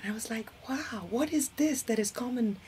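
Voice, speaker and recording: A woman in her thirties with an Indian accent and Hindi as her first language, giving personal testimony in an expressive, emphatic way. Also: fairly high pitch, moderate pace. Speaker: interview guest, spiritual community member. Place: indoor set with curtain backdrop, seated. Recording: clear background noise.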